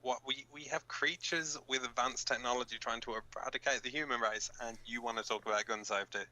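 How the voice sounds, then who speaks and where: A man's voice: mid-range voice, fairly even intonation, brisk, bright, forceful tone, rough recording. A man playing a blunt, cynical resistance fighter co-hosting an underground broadcast in a radio drama, speaking informally. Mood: exasperated.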